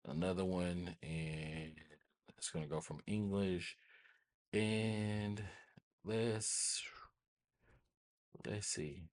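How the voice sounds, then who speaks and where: A man hosting a casual software tutorial, thinking aloud informally and often repeating his phrases. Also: very deep voice, breathy edge. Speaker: tutorial host.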